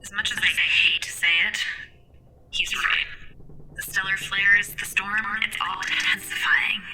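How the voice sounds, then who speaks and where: A woman's voice: medium pitch, fairly even intonation, measured pace, noisy background, natural voice. Woman acting defiant, cranky female ship computer. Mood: grim. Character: defiant, cranky female artificial intelligence of a space station. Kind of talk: audio drama acting.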